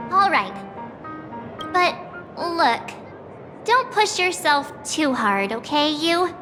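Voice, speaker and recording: A woman voicing a cheerful, excitable young girl adventurer in a video game, bubbly and self-deprecating, with game music behind. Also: high-pitched, very slow, long pauses, full character voice. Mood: encouraging.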